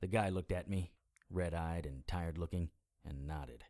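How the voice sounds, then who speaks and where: An actor playing a wry, world-weary immortal investigator in middle age, with a dry, dramatic delivery in an audio drama. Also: male voice, low voice, moderate pace, mellow, close to an everyday voice. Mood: tense, matter-of-fact. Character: wry world-weary immortal male investigator who looks about forty. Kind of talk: audio drama dialogue and first-person noir narration.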